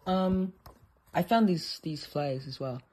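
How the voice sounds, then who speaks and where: A speaker with a slight lisp giving a casual, unscripted show-and-tell in a halting, self-correcting way. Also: mid-range voice, darkish tone, slightly rough. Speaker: presenter showing an old atlas.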